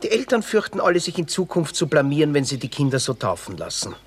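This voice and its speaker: Sardonic, florid young Austrian drifter. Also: male voice, a little high, very animated, quick pace, breathy edge. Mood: mock-learned, bitter. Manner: florid, pompous wordplay with dry asides. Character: witty, bitter red-haired young drifter, grandiloquent and sardonic. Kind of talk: classic Viennese stage comedy radio acting.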